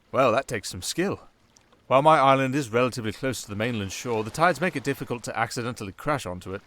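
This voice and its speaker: A man with a British accent playing a sardonic, softly dangerous male siren in an ASMR roleplay, with dry, theatrical sarcasm. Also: medium pitch, natural voice. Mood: wry, reluctantly helpful.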